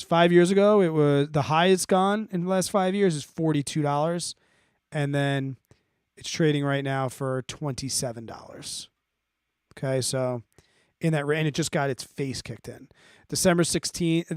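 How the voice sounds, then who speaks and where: A man's voice: mid-range voice, no echo, clean studio sound. A man hosting an investing live stream, explaining off the cuff in an informal, blunt way, thinking aloud and checking in as he answers viewer questions.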